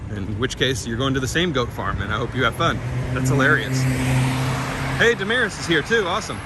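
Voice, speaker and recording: A man's voice: mid-range voice, expressive, normal speed. A middle-aged man from Kentucky with a slight Southern twang and drawl, chatting with viewers as he walks through a city. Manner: friendly, reads out viewer comments. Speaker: walking vlog host. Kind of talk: live-stream chat while walking. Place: outdoors on a city street. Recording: street noise behind the voice. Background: traffic noise.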